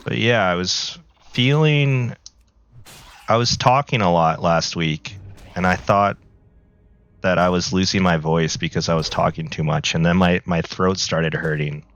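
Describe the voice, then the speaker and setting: A man with a low, gravelly voice chats slowly and loosely while he plays, full of filler words. His voice sounds a little worn after being sick. The home recording is somewhat muffled. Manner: relaxed, rambling, many fillers. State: recovering from flu, voice a bit worn. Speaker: gaming video creator. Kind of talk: casual gameplay commentary. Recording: slightly dull, lo-fi audio.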